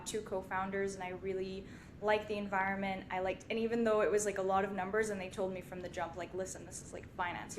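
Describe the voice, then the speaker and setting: Young woman from syria, casual podcast guest chat, says like a lot, pretty noisy rumbly recording. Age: 20s. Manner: chatty, informal, frequent "like". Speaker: podcast guest, finance company partner. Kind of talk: casual conversational interview.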